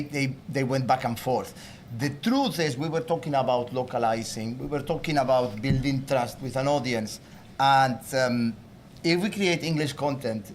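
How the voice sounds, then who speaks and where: A man from Spain with a Spanish accent, speaking on a panel in a casual, animated way. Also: medium pitch, slight background noise. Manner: animated, acts out quoted voices.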